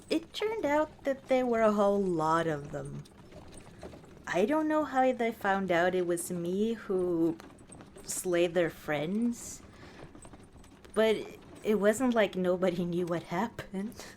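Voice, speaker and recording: A woman voicing a proud but softening battle-scarred orc warrior wife in an intimate ASMR roleplay, speaking tenderly and haltingly. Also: medium pitch, expressive, a little hiss or hum. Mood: wry, boastful. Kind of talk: ASMR roleplay, intimate one-sided dialogue. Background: outdoor ambience, horse hooves.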